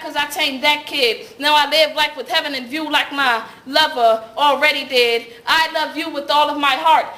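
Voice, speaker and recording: Female slam poet, high-pitched and forceful, emphatic cadenced spoken word, echoey space. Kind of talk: slam poetry performance, rhythmic rhyming spoken word. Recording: echoey room sound with faint noise.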